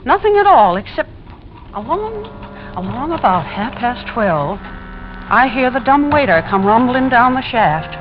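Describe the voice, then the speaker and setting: A woman's voice: a little high, big pitch swings, unhurried. An actress playing a nosy, chatty, suspicious neighbor landlady in a gossipy, rambling 1940s radio suspense style. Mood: gossipy, confiding. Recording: dull, hissy 1940s radio recording.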